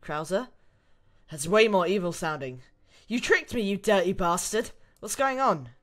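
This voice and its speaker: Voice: medium pitch, very animated, normal speed, a bit bright. A reader voicing a sarcastic, indignant young woman held captive, dramatic and exclamatory, in an amateur drama. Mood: shocked, indignant.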